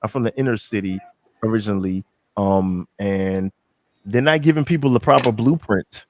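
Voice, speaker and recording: A middle-aged man from New York, talking casually on a podcast, animated and emphatic, repeating himself and swearing freely. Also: on the low side, normal speed, slight background noise. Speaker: podcast co-host.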